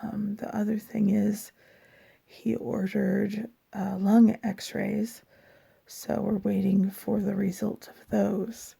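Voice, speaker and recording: Hoarse, husky-voiced woman vlogger, very unhurried, speaking haltingly and wearily about her health. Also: mid-range voice, flat delivery, mellow. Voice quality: raspy. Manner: slow, halting and tired, with many pauses and fillers. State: hoarse from a lasting cough. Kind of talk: personal health update.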